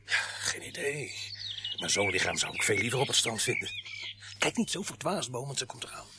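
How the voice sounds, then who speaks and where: A man's voice: mid-range voice, very animated, fairly quick, heavy background noise, natural voice. An actor playing a dry, probing male police sergeant in a radio play. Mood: awkward, polite.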